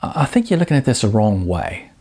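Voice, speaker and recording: A male narrator voicing a smooth-talking, evasive talent agent who hedges with fillers, in a husky voice. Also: on the low side, sing-song, fast, a little mellow, heavily acted. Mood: glib. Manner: glib, hesitant with fillers.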